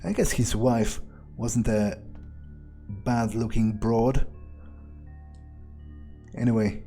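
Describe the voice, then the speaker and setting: A man giving in-character game commentary, musing aloud in a halting, sardonic and profane way. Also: medium pitch, steady tone, warm tone, soft background music. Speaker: gamer playing in character.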